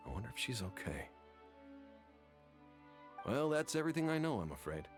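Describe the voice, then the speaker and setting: An actor playing a gentle, melancholy man in a video game, reflective and soft-spoken. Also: mid-range voice, big pitch swings, normal speed, soft background music, close to an everyday voice. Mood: wistful. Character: gentle, melancholy man who finds peace in cemeteries.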